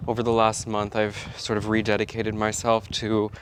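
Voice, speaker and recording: A man's voice: fairly low pitch, completely flat delivery, normal speed, a little hiss or hum. A man chatting casually and rambling a bit as he vlogs his day. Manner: chatty, rambling, self-talking. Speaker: vlogger. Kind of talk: casual vlog chat.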